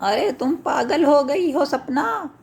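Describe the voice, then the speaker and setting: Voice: high-pitched, animated, takes it slowly, gravelly, darkish tone, a little hiss or hum, exaggerated voice. A performer voicing an anxious, protective village father, fretful in manner, in a horror storybook. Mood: alarmed.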